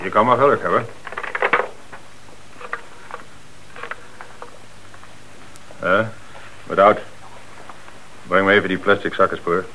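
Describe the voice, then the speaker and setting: A man acting a calm, confident police commissioner with measured authority in a Dutch radio play. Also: sits low, lightly acted. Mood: relaxed, confident. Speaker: actor in a radio crime play. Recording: noisy, band-limited old radio recording.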